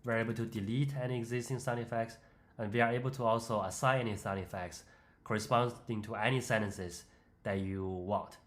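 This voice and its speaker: Male tutorial presenter: lowish pitch, instructional, step-by-step screen-demo voice-over. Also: fairly even intonation, brisk.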